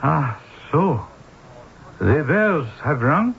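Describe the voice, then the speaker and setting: A ceremonious gentleman from a radio mystery, with a high, creaky voice. He speaks formally and haltingly, full of polite menace. The sound is thin and phone-like. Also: sing-song, full character voice. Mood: ominous. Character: courteous, ominous Japanese gentleman warning of cursed bells. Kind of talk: 1970s radio mystery acting. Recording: muffled phone-like old radio with hiss.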